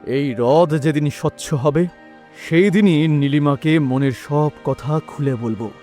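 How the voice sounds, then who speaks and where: A devoted, brave cartoon army commander played by a man. His voice sits on the high side for a man, over faint music. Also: measured pace, warm tone, natural voice. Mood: rallying. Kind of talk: animated fairy-tale story dialogue.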